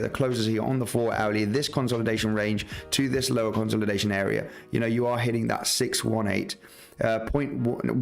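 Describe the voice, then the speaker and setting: Gravelly man talking through trading charts. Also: lowish voice. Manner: loose, many fillers and restarts, walks through charts. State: slightly rough voice. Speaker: market analysis livestream host. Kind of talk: live trading chart commentary.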